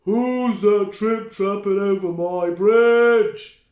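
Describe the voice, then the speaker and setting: A man's voice: high-pitched, darkish tone, dull audio, pitched above the performer's own voice. A man voicing a grumpy, boastful storybook troll who bullies and roars his words out emphatically. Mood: roaring. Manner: roaring, drawn-out, emphatic delivery. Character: grumpy, boastful male bridge troll, loud and bullying. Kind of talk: children's storybook character voice. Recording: roomy, echoing indoor sound.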